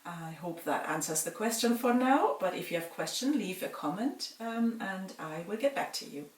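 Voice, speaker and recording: A middle-aged woman from Germany calmly answers viewer questions in a casual vlog, pausing with frequent ums. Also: medium pitch, recorded from a distance. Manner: calm, measured, with frequent ums. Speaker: vlogger. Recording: roomy sound from away from the mic.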